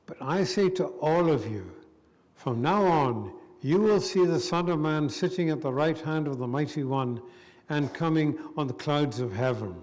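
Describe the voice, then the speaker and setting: Minister guy reading a bible part out loud, voice on the high side, long church reverb. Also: expressive, normal speed. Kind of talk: dramatised scripture reading.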